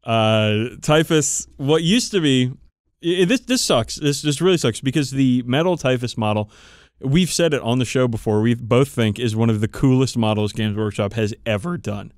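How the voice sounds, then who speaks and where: A man's voice: mid-range voice, animated, moderate pace, dry recording, clean studio sound. A man co-hosting a podcast, chatting casually about his hobby in an opinionated, emphatic way with plenty of swearing. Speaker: podcast co-host. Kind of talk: casual chat about tabletop wargaming.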